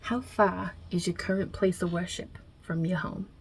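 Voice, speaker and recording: Husky New York Italian mob-boss deity for ASMR roleplay, non-binary, low register, wry, hesitant, muttering asides played by a woman. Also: fairly even intonation, normal speed. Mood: dry, teasing. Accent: put-on Italian-American New York.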